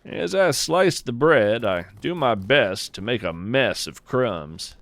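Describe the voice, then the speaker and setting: A man hosting a podcast, narrating in a folksy, musing, poetic way with deliberate phrasing. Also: mid-range voice, expressive, a bit bright, no room sound. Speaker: podcast host. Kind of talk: scripted first-person narration in a fiction nature podcast.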